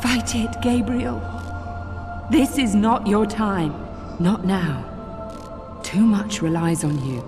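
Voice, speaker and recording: A woman playing the gentle, earnest spirit of a dead wife in a video game, pleading solemnly. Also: mid-range voice, takes it slowly, long pauses. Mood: urgent, tender. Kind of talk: dramatic video game acting. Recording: music over the voice. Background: music.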